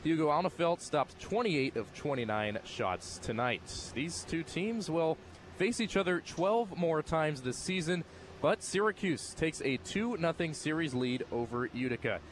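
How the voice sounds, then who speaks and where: Young adult American male sports announcer, voice a touch high, audible arena noise behind. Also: expressive, a little slow. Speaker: sports broadcaster, play-by-play announcer and postgame radio host. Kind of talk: sports commentary.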